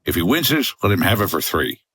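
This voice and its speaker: An actor playing a sardonic, unflappable hotel owner in a noir comedy radio drama, delivering lines deadpan. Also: male voice, deep voice, brisk, slightly rough, no room sound, studio quality, full character voice. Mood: gruff, wry. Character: dry, unflappable American hotel owner, noir tough guy.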